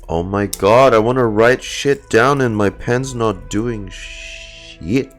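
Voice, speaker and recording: A man doing casual reaction commentary as a streamer, muttering to himself and haltingly reading bits of game dialogue aloud. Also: on the low side. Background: faint game music.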